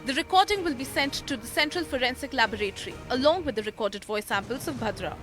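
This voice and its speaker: A woman's voice: sits high, lively intonation, quick pace. A woman narrating a scripted television news report as a voice-over. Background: music bed under voice-over.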